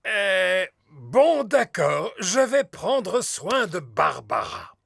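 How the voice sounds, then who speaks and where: An actor voicing a kindly old grandparent pig in a preschool cartoon, jovial and teasing, with a creaky voice. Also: medium pitch, big pitch swings, drawn-out words, fairly bright tone, dry recording, clean studio sound, full character voice. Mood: concerned.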